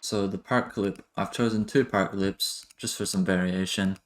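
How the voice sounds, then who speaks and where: A man giving a casual software tutorial, talking in a hesitant, halting way as he thinks aloud. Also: deep voice, steady tone, a little hiss or hum. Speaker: music production tutorial host.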